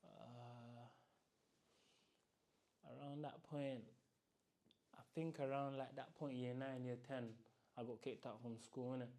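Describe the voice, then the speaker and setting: A young man with a south London accent talks in a laid-back, slangy way. His voice is warm and mellow, and his tone stays flat and even. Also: mid-range voice, slow, long pauses. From south-east London. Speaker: guest. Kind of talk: casual interview answers.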